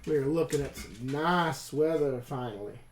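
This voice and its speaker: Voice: mid-range voice, lively intonation. An American hobby host chatting casually and rambling, often talking to themselves while working at a table. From Western New York, United States. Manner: unhurried, rambling, talks to self while working. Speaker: co-host of a tabletop miniature painting channel. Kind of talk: casual rambling chat while painting miniatures. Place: indoors at a painting table. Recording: echoey room.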